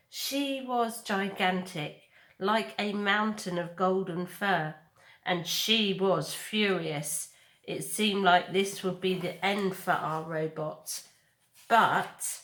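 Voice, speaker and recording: A woman reading children's fiction aloud as a deliberate, expressive storyteller. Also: on the low side. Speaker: storybook reader. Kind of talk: dramatic read-aloud narration of children's fiction. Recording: echoey room.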